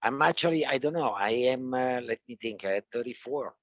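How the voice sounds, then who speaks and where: An older man from Italy with an Italian accent, speaking casually as a panelist in a halting, jokey manner with many pauses. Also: mid-range voice, no echo. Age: 60s or older. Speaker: panelist, property entrepreneur. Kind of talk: casual self-introduction to a live audience.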